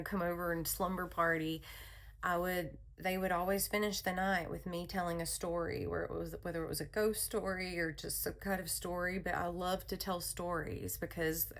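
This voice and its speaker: Soothing lady with a bit of fry, rambly chill wellness chat, laughs a lot. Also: fairly low pitch. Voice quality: calm soothing voice. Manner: calm, rambling, laughs often. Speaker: wellness channel host. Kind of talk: casual spiritual talk to viewers.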